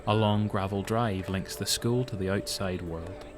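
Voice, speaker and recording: Low-voiced Northern Irish male narrator. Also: steady tone, measured pace. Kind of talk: scripted dramatic narration. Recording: noticeable background noise.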